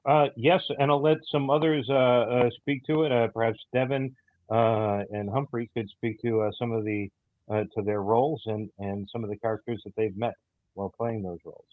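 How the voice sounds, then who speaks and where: A man speaking haltingly in an interview as an audio drama writer, with frequent hesitant pauses. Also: medium pitch, steady tone. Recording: tinny, band-limited call audio, roomy echo.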